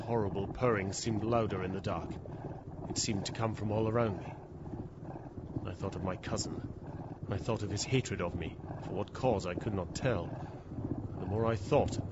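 A man's voice: on the low side, natural voice. An actor playing a terrified, trapped man who recounts his ordeal in suspenseful, theatrical audio drama narration. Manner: suspenseful, theatrical, swinging from hushed to frantic. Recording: dull, lo-fi audio with loud background noise.

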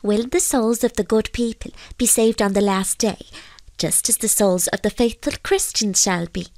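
A woman voicing a little male fairy from a storybook, proud and courteous, with a small voice and formal, deliberate delivery. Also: mid-range voice, a little mellow, no echo, close to an everyday voice. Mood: solemn request.

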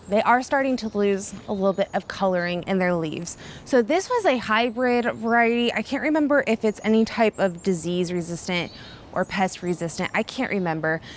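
A woman's voice: mid-range voice, slight background noise. A young woman chatting enthusiastically on a tour of her backyard garden, filmed outdoors on a phone. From Kansas. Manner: enthusiastic, chatty, exclaims often. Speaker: garden vlogger. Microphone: handheld phone camera.

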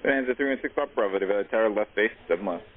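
Male tower controller, lowish voice, rattles off instructions over radio. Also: rapid-fire. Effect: aviation radio. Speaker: air traffic controller. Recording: tinny aviation radio, faint static.

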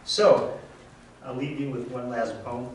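An older man reading his poems aloud in a measured, wry way, with casual asides between them. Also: mid-range voice, fairly even intonation, a little hiss or hum. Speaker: poet reading his work. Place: lecture room at a reading series. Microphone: handheld mic on a stand, close. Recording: echoey room, mic at a distance.

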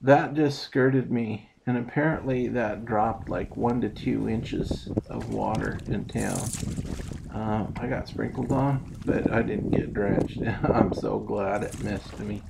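A man on a small boat on a lake, calmly walking through his day in a relaxed, rambling way with plenty of ums. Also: fairly low pitch, slow pace, gravelly, very noisy. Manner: relaxed, rambling, explains step by step with many ums. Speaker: fishing vlogger. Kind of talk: casual narrated fishing recap. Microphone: boat-mounted action camera.

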